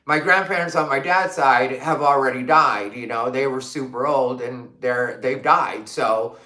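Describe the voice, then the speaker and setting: A woman's voice: a bass voice, unhurried, sharp tone, echoey room. A woman from Arizona chatting casually on a livestream, reading comments and firing off emphatic rhetorical questions with lots of fillers. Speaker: livestream host. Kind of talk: casual live stream chat reading comments.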